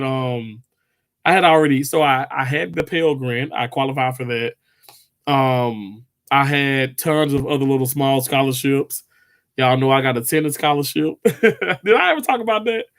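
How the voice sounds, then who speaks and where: A man's voice: on the high side, fairly bright tone. A man, chatting casually with viewers on a livestream, rambling with lots of fillers and restarts. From Mississippi, US. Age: 30s. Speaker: livestream host.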